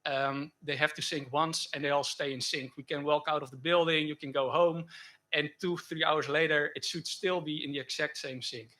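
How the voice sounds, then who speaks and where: A man giving a technical conference talk and answering questions, speaking haltingly with frequent self-corrections. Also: a little high, fairly even intonation, sharp tone. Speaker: conference speaker presenting a project.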